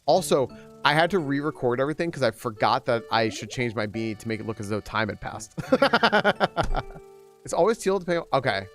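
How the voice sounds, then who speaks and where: A man talking loosely to his stream chat during a game, his voice pitched at an everyday middle. His thoughts wander and restart often, and he springs higher to greet viewers. Soft game music plays quietly behind him. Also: sing-song, fairly quick. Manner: rambling, with many restarts and trailing thoughts. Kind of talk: casual live-stream chat while gaming.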